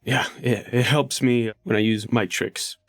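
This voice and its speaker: Male game player, lowish voice, dry close sound, casual, halting improvised description. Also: fairly even intonation, dry recording. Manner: halting, pieces the description together as he goes.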